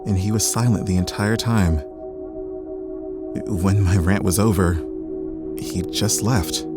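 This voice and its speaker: An actor playing a gruff, stubborn paleontologist who speaks wearily and sardonically, quickening when excited. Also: male voice, lowish voice, over loud music. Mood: bitter, regretful. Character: gruff middle-aged male paleontologist, bitter and stubborn. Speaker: doctor running a research center. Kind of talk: audio drama acting.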